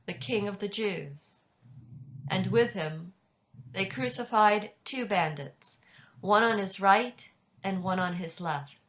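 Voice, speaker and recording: Female scripture narrator. Bright, forceful voice, measured and unhurried delivery, home video-call sound. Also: medium pitch, low-quality recording. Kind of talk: dramatic scripture reading.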